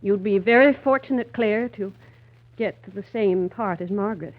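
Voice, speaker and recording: Pious, sincere wife and mother voiced by an actress, gently chiding, period radio-drama delivery, phone-like vintage sound. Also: medium pitch, moderate pace, natural voice. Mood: earnest chiding. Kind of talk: 1930s radio drama acting. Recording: thin, narrow vintage 1930s radio broadcast.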